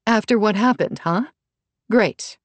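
A woman's voice: medium pitch, lively intonation, moderate pace, no echo, voice pushed up, lightly acted. A woman voicing a blunt, defensive, sardonic mother in an audiobook. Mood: bitterly sarcastic. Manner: blunt, confrontational. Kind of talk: audiobook character dialogue.

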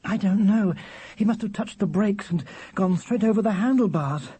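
A man's voice: sits high, normal speed, dark tone, slightly rough, dry recording, pitched above the performer's own voice. A man voicing a worried mother who takes charge in a storybook, urgent and practical.

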